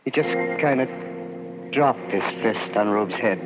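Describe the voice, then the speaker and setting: An actor playing a frightened, plain-spoken working man haltingly recounting an ordeal in an old-time radio drama. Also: medium pitch, muffled recording, close to an everyday voice. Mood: shaken. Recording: band-limited old radio, music underneath.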